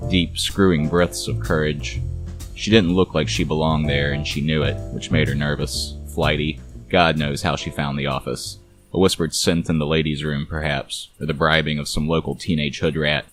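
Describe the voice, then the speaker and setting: Male noir narrator: very low register, deliberate storytelling delivery, soft music behind.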